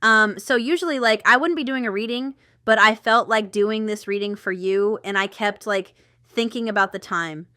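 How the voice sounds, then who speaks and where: A woman's voice: medium pitch, normal speed, pushed and bright, clean tone, no echo, studio quality. A woman giving a casual, intuitive tarot reading straight to the viewer, chatty and thinking aloud with rambling asides. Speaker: tarot reader and channel host.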